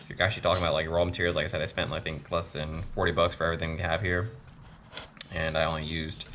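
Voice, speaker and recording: Casting: man, very deep voice, laid-back DIY builder, noisy shop recording. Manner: relaxed, many "uh" pauses. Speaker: motorcycle builder. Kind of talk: casual DIY build walkthrough. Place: home workshop.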